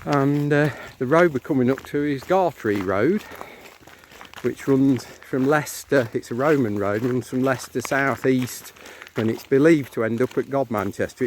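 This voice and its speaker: Middle-aged English man, noisy handheld recording. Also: moderate pace. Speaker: walking vlog host and local guide. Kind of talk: casual rambling commentary. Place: moving car, later open farmland track. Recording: noisy handheld recording, car and outdoor noise. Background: car rumble, outdoor ambience.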